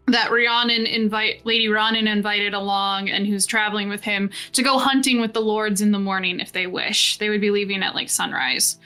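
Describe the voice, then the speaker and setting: A woman narrating as game master in a casual tabletop session, swearing and tossing in quick asides. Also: medium pitch, bright, forceful tone, clean tone.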